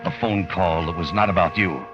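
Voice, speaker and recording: A man's voice: low-pitched, fairly even intonation, a little fast, close to an everyday voice. An actor playing a cocky, suspicious American hitman on the run, in clipped, sardonic hard-boiled radio crime drama style. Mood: brooding narration. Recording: muffled old radio recording with music.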